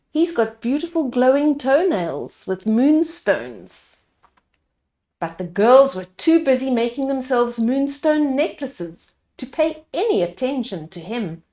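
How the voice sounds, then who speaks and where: Female storytime reader. Higher voice, very unhurried, explains pictures to little kids. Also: mellow. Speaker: storyteller reading a picture book aloud. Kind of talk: read-aloud storytime narration with asides to children.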